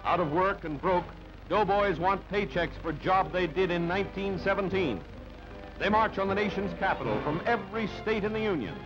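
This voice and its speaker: Dull-sounding vintage newsreel man, high-pitched. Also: normal speed. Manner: clipped, punchy, dramatic delivery. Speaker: newsreel narrator. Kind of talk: scripted newsreel narration. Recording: dull band-limited old newsreel soundtrack. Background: music.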